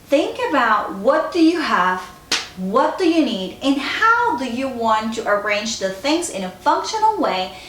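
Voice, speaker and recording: Woman, Puerto Rican accent, high-pitched, very lively intonation, encouraging counselor, informal advice vlog. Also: a little slow, a little hiss or hum. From Puerto Rico. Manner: addresses viewers directly, encouraging. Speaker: school counselor giving advice. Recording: echoey, roomy sound.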